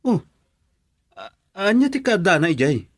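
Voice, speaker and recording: A man's voice: huge pitch swings, a little slow, husky, heavily acted. Playful young friend, ribbing his pal, played by a man. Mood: teasing. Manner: teasing, laughing, jumpy pitch. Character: teasing young male friend, joker. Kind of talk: radio drama acting.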